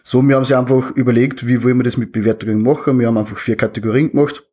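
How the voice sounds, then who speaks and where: Husky-voiced man with an Austrian accent. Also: medium pitch, very fast. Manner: relaxed, laughs between sentences. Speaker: guest, hobby game reviewer. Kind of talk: casual interview.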